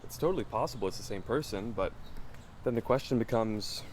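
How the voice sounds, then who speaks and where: An actor playing a curious, determined American investigator in an audio drama, questioning things in a thoughtful, earnest way. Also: male voice, fairly low pitch, fairly quick, slight background noise, lightly acted. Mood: puzzled, probing. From Los Angeles, United States. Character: curious, determined young male amateur investigator. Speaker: investigative podcast host. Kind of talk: naturalistic audio drama dialogue.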